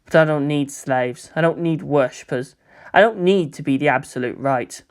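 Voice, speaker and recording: A man's voice: a little high, moderate pace, lightly acted. A man voicing a reluctant, earnest and defiant teenage boy hero in a dramatised fan fiction reading, speaking with intensity. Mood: grim resolve.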